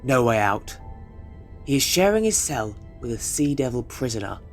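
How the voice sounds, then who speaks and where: A man's voice: medium pitch, very animated, moderate pace, faint music behind. A man reading scripted narration for an audio drama.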